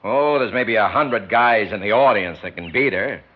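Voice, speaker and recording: A paunchy male sports promoter pitches hard in a 1960s radio drama. His voice is fairly low and very animated, slangy and persistent. The old recording is muffled, with faint noise. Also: normal speed. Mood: persuasive. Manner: slangy, persistent salesman's patter. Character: paunchy, cigar-smoking male sports promoter, fast-talking and persuasive.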